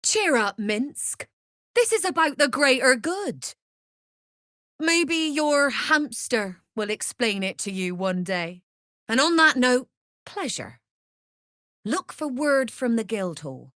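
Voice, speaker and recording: A woman's voice: high voice, big pitch swings, takes it slowly, fairly bright tone, dry recording, studio quality, exaggerated voice. An actress playing a scheming, commanding female mercenary leader in a video game, persuasive and calculating. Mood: smug, persuasive. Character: scheming, smooth-talking female mercenary leader plotting a takeover. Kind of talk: video game character acting.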